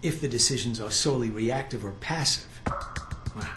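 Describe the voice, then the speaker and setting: A man's voice: medium pitch, moderate pace, lightly acted. A man playing a curt, condescending intelligence analyst in a video game, with dry, clipped, formal delivery. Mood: lecturing. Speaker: intelligence analyst and mission handler. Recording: roomy echo, loud game music behind.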